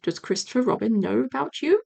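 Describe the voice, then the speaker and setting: A woman voicing a gentle, slow-witted male bear in a storybook, mild, puzzled and polite. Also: fairly high pitch, expressive, creaky, full character voice. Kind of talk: storybook character voice.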